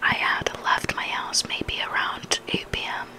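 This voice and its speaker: An ASMR creator whispering scary stories in a soft, unhurried narration, phrased for dramatic storytelling. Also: low-quality recording. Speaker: ASMR creator reading listener horror stories.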